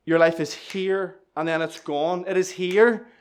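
A man's voice: high voice, fairly even intonation, a little slow, smooth. A young man, giving an earnest church testimony and sermon that repeats key lines for emphasis. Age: 20s. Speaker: lay preacher giving testimony.

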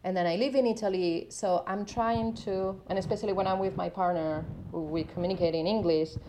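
A Spanish woman with a Spanish accent, a native Spanish speaker, chatting as a guest in a casual interview, self-deprecating and quick to laugh. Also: medium pitch, steady tone, mellow, slight background noise. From Spain. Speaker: artist and researcher guest.

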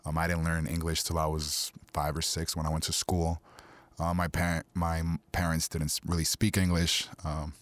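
A deep-voiced man from Queens whose first language is Spanish speaks as a musician guest. He talks in a flat, even tone and keeps stopping to fill gaps with "um" and "you know." The sound is dry and close. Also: no echo. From Queens, New York City, US. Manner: relaxed, halting, full of fillers like "you know" and "um". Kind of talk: casual interview talk.

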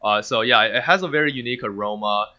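A man from China whose first language is Mandarin, a game studio owner chatting as a podcast guest, halting with many fillers and restarts. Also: mid-range voice, sharp tone, highs cut off. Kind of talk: casual interview chat.

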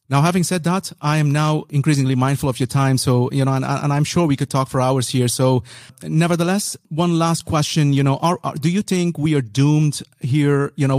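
A man's voice: medium pitch, darkish tone, dry recording. Podcast host, male, native Persian speaker, rambling with frequent restarts, dry close sound. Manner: rambling, many restarts and "you know" fillers. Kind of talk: casual interview conversation.

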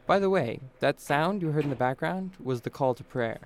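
A man hosting a podcast, reading scripted narration in a clear, measured way. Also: fairly high pitch, expressive. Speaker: podcast host.

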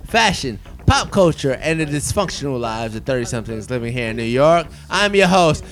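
A man's voice: mid-range voice, normal speed, a little hiss or hum. A man in his thirties hosting a casual chat podcast with friends, playful and joking. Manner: playful, animated, restarts his intro. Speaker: podcast host. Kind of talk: casual chat over drinks.

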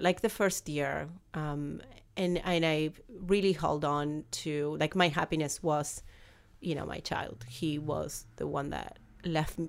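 A woman in her forties speaks with a strong Argentine Spanish accent in a notably low voice. She talks haltingly as a podcast guest, often restarting her sentences and laughing softly between thoughts. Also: vocal fry. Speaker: guest, business founder. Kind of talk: conversational interview.

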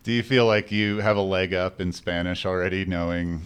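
Smooth low-voiced American male interviewer. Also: steady tone, moderate pace. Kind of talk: casual interview chat.

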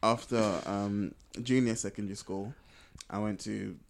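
A man's voice: fairly low pitch, fairly even intonation, a little slow, gravelly. A man co-hosting a casual podcast, explaining his thoughts reflectively and correcting himself as he goes. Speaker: podcast co-host. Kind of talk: casual conversational podcast chat.

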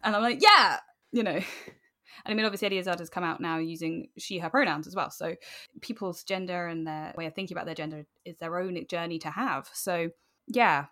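Podcast guest: British woman, late twenties, slightly low voice, candid, informal and emphatic. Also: brisk, pushed and bright. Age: late 20s. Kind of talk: candid conversational interview.

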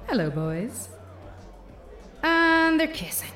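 A high, very animated sardonic young woman's inner voice, mouthy and exasperated. She plays it for audio drama comedy, mischievous and sweary, in a roomy sound set slightly back from the microphone. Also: slow pace, distant mic, music in the background, crowd noise, exaggerated voice. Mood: sardonic, searching.

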